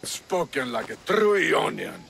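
An actor playing a hot-headed, haughty Greek nobleman with a gravelly, indignant delivery in a video game. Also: mid-range voice, sing-song, unhurried, fairly bright tone, slight background noise, full character voice. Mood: scornful. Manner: indignant, scornful.

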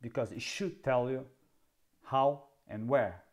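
Man, fifties, Portuguese accent, unhurried, mid pitch, sarcastic tech explainer, dark studio. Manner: wry, sarcastic, asks himself questions. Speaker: camera video host. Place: dark indoor studio, seated.

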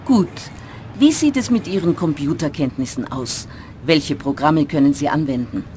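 A woman's voice: low voice, animated, moderate pace, mellow, a little hiss or hum. A woman voicing a travel agency manager who interviews an applicant, in a scripted textbook dialogue. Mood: businesslike.

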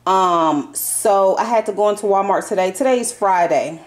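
A woman vlogging from her home kitchen, talking viewers through her groceries in a relaxed, chatty way full of fillers and y'all. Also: medium pitch, moderate pace. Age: adult parent. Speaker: vlogger showing a grocery haul. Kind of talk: casual chatty haul vlog.

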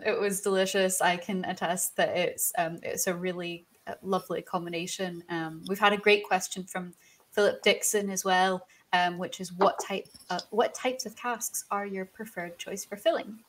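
A woman's voice: mid-range voice, steady tone, moderate pace, fairly bright tone. A young woman hosting an online tasting over a video call, reading out viewer questions with a few fillers. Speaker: host.

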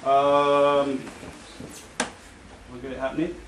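A man's voice: medium pitch, completely flat delivery, takes it slowly. Australian male demonstrator, hesitant, off-the-cuff demo, echoey store. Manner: hesitant, full of ums and restarts. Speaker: product demonstrator. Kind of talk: casual live product demo. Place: crowded store at a product night. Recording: echoey shop with crowd noise.

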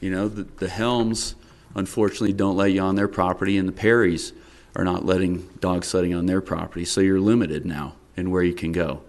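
A middle-aged man, a town council member, questioning a business owner at a public work session, measured and repeating words as he presses his point. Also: sits low, level tone. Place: town council meeting room, indoors. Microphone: desk microphone.

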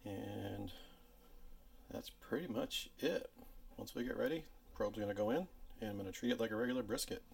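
A man hosting his own home cooking channel, explaining each step in a relaxed, chatty way while filming on a handheld phone in his kitchen. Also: normal speed, creaky. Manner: louder and higher outdoors by the smoker. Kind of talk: casual cooking tutorial. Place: home kitchen, then outdoors at a backyard smoker. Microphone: phone camera held by the speaker.

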